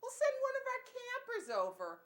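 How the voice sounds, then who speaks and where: A woman's voice: very high voice, wildly swooping pitch, moderate pace, a little hiss or hum, heavily acted. A woman playing a bossy, gleefully cruel summer camp boss in a comedy sketch spoof advert. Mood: smug, gleeful.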